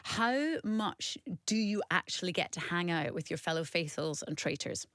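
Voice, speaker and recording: A woman's voice: on the low side, lively intonation, moderate pace, fairly bright tone, no echo, clean studio sound. A woman asking questions as interviewer in a casual conversation.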